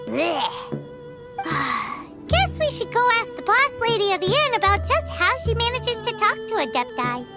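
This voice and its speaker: A woman voicing a tiny, excitable childlike fairy companion in a video game, squeaky and exclamatory. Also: a little slow, over loud music, full character voice. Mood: grumbling. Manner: speaks of self in third person, exclamatory. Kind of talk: cartoonish video game voice acting.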